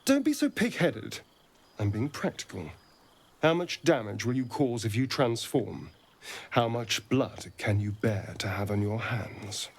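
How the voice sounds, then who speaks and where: A flirtatious, menacing vampire rogue in a cinematic fantasy. He speaks slowly and theatrically, with silky teasing, a creaky edge and lively swoops of pitch. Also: full character voice. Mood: impatient. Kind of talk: cinematic fantasy game-style acting.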